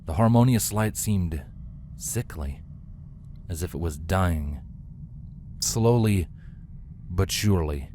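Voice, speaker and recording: A man's voice: sits low, darkish tone, a little hiss or hum. A man reading a scripted fantasy story aloud as a dramatic narrator. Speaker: fan fiction narrator.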